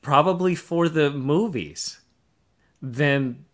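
A man co-hosting a podcast, explaining things casually in a measured, informative way. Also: a little high. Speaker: podcast co-host.

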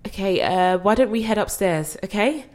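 A woman's voice: medium pitch, expressive, normal speed, a little mellow, lightly acted. An actress playing a young woman who looks after her grandmother, strained but trying to stay soothing. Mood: gentle. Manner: strained and exasperated, then apologetic and soothing. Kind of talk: screen drama acting.

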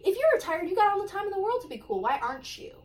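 A woman's voice: very high-pitched, sing-song, racing. A nineteen-year-old woman chatting casually as a podcast co-host, slangy, joking and self-deprecating, recorded at a distance from the mic. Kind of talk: casual chat.

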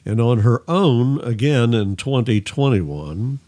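A man's voice: mid-range voice, lively intonation, takes it slowly, mellow. A man co-hosting a casual music quiz and chat, joking and teasing as he talks. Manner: jokey, teasing, playful. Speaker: co-host running a music quiz.

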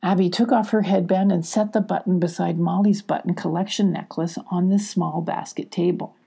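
A woman's voice: fairly low pitch, fairly even intonation, normal speed, vocal fry, dark tone, slightly rough. An older woman narrating a children's story expressively as a storybook reader.

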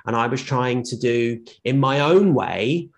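A man sharing his own health experience in a casual conversation, often pausing and restarting. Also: medium pitch, lively intonation, creaky. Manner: rambling, many fillers and restarts. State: short of breath at times. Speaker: guest with lived experience of long covid. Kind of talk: conversational personal account.

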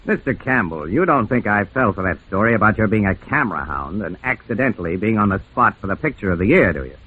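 A man's voice: fairly low pitch, expressive, fast, a little hiss or hum, close to an everyday voice. A man playing a shrewd, blunt police lieutenant in an old-time radio mystery, with probing, emphatic delivery on a muffled vintage recording. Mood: accusing.

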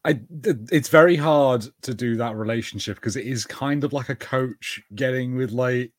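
A man's voice: mid-range voice, normal speed, dry recording, clean studio sound. A man co-hosting a podcast, giving casual, conversational commentary on a film. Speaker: podcast co-host.

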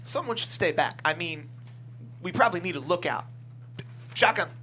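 Brash, whiny comic character, played by an actor, forceful bright voice, table read with faint hum. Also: male voice, sits high, animated, normal speed. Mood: whiny, nervous. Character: abusive, foul-mouthed boss; also whiny, cowardly sidekick. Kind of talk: live script table read.

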